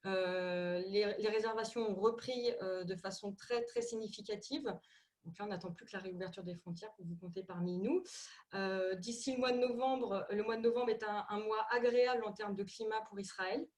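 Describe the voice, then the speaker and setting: Parisian woman, middle-aged, halting delivery full of fillers, video call talk. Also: medium pitch, fairly even intonation, a little mellow. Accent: Parisian French. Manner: hesitant, many fillers and repeated words. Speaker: tourism ministry representative.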